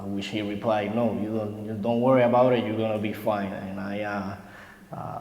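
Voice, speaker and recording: Low-voiced man, puerto rican accent, slow halting talk, echoey room. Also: a little mellow. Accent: Puerto Rican Spanish. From Puerto Rico. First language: Spanish. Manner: halting, many pauses and fillers. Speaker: veteran. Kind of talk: recorded interview reflection.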